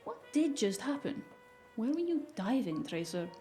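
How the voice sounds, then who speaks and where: A woman playing a blunt, exasperated, sharp-tongued shaman in a cyberpunk audio drama. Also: a little high, very animated, moderate pace, faint music behind. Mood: alarmed, scolding. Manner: blunt, sardonic.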